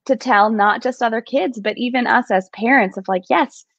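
A woman's voice: a little high, normal speed, no echo. A woman in her thirties hosting a casual chat in an upbeat, smiling way. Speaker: host. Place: indoor room at home. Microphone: video call microphone. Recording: slightly dull video call audio.

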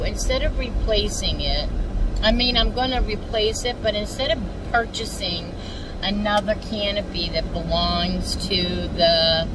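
An American woman in her fifties chatting casually to camera while driving, rambling with pauses as she goes. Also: mid-range voice. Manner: rambling, pausing, distracted by driving. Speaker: vlogger and crafter. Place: inside a moving car. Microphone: phone mounted on dashboard. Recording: distant mic with loud car road noise.

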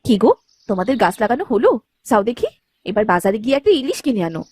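Woman as a high, nagging village wife. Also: big pitch swings, quick pace, dark tone, a little hiss or hum. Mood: demanding, complaining. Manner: nagging, sarcastic. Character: scolding, demanding young village wife, sharp-tongued. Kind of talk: fairy-tale cartoon acting.

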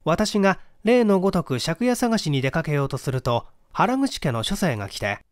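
Male audiobook narrator, fairly high voice, expressive and measured literary delivery, dry close-miked sound. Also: dry recording. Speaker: audiobook reader, stage actor. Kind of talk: dramatic scripted narration of a classic detective story.

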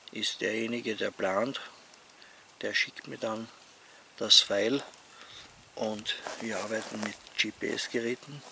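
Slow, gravelly Austrian man. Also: on the low side, pushed and bright. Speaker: outdoor vlogger. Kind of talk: casual talk to camera. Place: outdoors among leafy trees. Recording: outdoor ambient noise.

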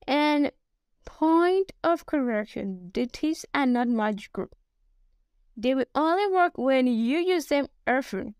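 Nigerian woman coach, podcast monologue, high voice, slow, dry close mic. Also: clean tone, no echo. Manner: spells out words slowly, promotional. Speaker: business English coach.

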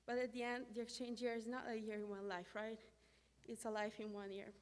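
A woman's voice: on the high side, flat delivery, a little fast. A young woman with an Italian accent, an exchange student giving an earnest prepared graduation speech at a podium microphone. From Italy. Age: young adult. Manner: earnest, reading from notes at a podium. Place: large school gym. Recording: echoey gym reverb.

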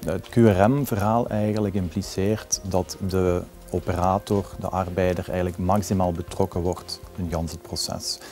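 Flemish man, 40s, low and even voice, warm dark tone, confident corporate talk, faint music behind. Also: normal speed, hardly any pauses. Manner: confident, promotional phrasing. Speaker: company executive. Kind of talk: corporate interview. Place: office with glass wall. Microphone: lapel mic.

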